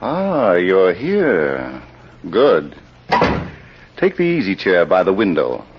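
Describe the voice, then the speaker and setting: Male mystery host: low, widely swinging delivery, suspenseful and deliberate, vintage radio. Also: wildly swooping pitch, heavily acted. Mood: ominous welcome. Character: mysterious, unhurried male librarian narrator of crime stories. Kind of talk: 1940s radio mystery narration. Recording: noisy, dull old radio recording.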